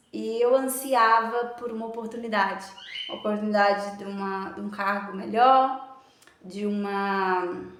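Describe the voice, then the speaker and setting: A woman speaks slowly and earnestly, reflecting on her own story of faith. She pauses often and fills the gaps with small words. Her voice sits a little high and rings in an echoey room. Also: fairly bright tone. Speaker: motivational speaker. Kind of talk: personal testimony to camera.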